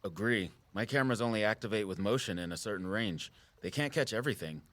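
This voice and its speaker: Low-voiced concerned outdoorsman. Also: animated, fast, fairly bright tone, no echo. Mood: intent, explanatory. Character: Jordan, concerned, patient outdoorsman who watches the woods. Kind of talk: naturalistic audio drama acting.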